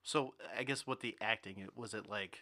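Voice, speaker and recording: Bright-voiced, jokey man hosting a podcast. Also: fairly low pitch, moderate pace. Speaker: podcast host. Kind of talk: casual chat.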